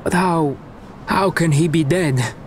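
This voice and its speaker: A man's voice: a little high, slow, a little hiss or hum. A young man playing an earnest, humble Italian resistance fighter in a video game, hesitant, with an Italian accent. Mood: grieving, bewildered. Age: young adult.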